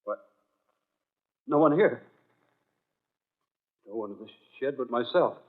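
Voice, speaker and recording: An actor playing a frightened, bewildered husband in an old-time radio drama, speaking urgently and haltingly with demanding questions. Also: male voice, mid-range voice, expressive. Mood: frantic, fearful. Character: frightened, bewildered husband searching for his wife. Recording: muffled, narrow-band old radio recording.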